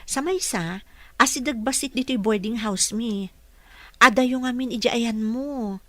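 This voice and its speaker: A woman's voice: a little high, a little slow, a bit bright, no echo. A woman playing a caring, respectful nurse in a radio drama, polite and encouraging. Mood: friendly.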